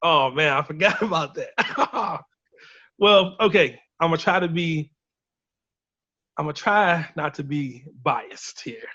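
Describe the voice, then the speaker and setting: Man in his 30s from Illinois, fairly high voice, dry dull video-call sound, chatty. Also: slow, no echo. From Illinois, USA. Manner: relaxed, chatty storytelling with laughs. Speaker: band member on a video chat. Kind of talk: casual chat.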